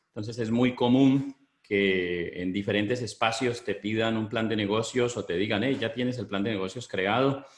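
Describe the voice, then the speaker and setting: A middle-aged man with a Colombian Spanish accent presents a business webinar over a computer microphone. His voice sits low, and he explains things in a measured way with frequent fillers. The room around him sounds echoey.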